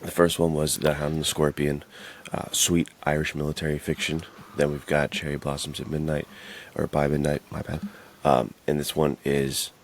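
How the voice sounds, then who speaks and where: A man's voice: extremely low pitch, monotone, moderate pace, hardly any pauses, slight background noise. A man co-hosting a podcast in casual, informal chat with plenty of slang. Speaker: podcast co-host.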